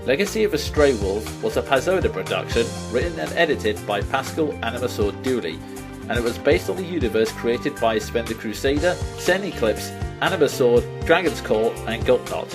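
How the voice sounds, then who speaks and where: Guy announcing the credits, scripted read, clear music behind him. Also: mid-range voice, lively intonation, normal speed.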